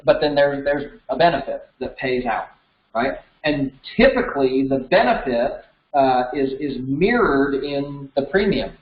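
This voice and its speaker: A man's voice: mid-range voice, expressive, unhurried. Man, thirties, American accent, conversational instructor, step-by-step explanations, roomy slightly muffled recording. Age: 30s. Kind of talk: informal explanatory lesson. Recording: roomy echo, slightly dull sound.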